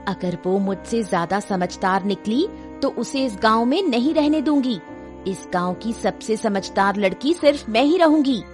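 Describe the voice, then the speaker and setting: Woman acting a high-voiced, scheming village rich girl. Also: lively intonation, moderate pace, over music, close to an everyday voice. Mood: jealous, haughty. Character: scheming, jealous, vain village rich man's young daughter.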